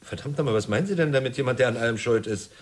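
An actor playing an indignant, flustered film director held hostage in a German radio drama, incredulous and sputtering. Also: male voice, medium pitch, very fast, breathy edge, natural voice. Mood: indignant, scornful.

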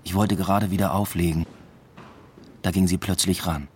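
A deep-voiced, brooding male academic played by an actor tells his story in a radio drama. He is reflective and understated, with clear noise behind his voice. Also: steady tone, moderate pace, a little mellow, close to an everyday voice. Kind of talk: first-person radio play narration and dialogue.

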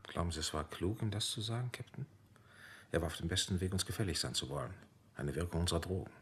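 Fast, low-voiced cautious army major played by a man. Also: slight background noise, lightly acted. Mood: stern warning. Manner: formal, clipped, disapproving. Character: measured, cautioning military major running drug interrogation equipment. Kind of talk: German radio drama acting.

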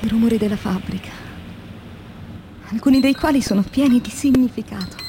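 An actress playing an anguished, exhausted factory worker confiding her diary in a dramatic radio monologue that shifts between hushed and vehement delivery. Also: fairly high pitch, a little fast, a little hiss or hum, lightly acted. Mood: absorbed.

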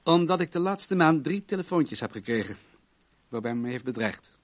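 An actor in a Dutch radio drama playing an imperious, self-satisfied millionaire with a grand, commanding manner. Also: male voice, on the high side, animated, normal speed. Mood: assured, defiant.

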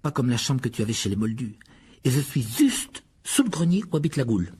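A man's voice: mid-range voice, sing-song, fairly quick, darkish tone, slightly rough. A man voicing a shy, eager, self-conscious young wizard boy in an audiobook. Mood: anxious, modest.

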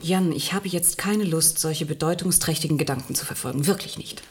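A woman's voice: low-pitched, fairly quick, noisy background, natural voice. An actress playing a weary, exasperated woman impatient with her brooding partner in a German radio play.

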